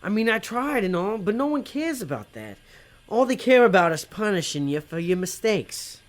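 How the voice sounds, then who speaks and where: A man's voice: high-pitched, big pitch swings, moderate pace. A man playing a conflicted, working-class demon tempter in an audio drama, talking in a colloquial, hesitant way. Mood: regretful.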